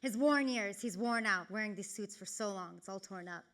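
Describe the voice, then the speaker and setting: A woman performing a dramatic spoken-word monologue, with poetic, deliberate phrasing and a theatrical delivery that moves between hushed and forceful. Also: mid-range voice. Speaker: actor and writer performing a self-written monologue.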